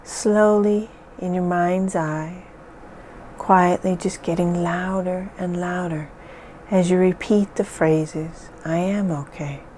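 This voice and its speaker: A woman leading a guided hypnosis session in a slow, soothing, measured way with long pauses. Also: fairly low pitch, steady tone, mellow, slight background noise.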